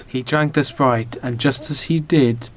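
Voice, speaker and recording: A man narrating a comic radio play as a podcast host, with dramatic, deliberate storyteller phrasing. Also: mid-range voice, fairly even intonation. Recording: amateur podcast, clearly noisy background.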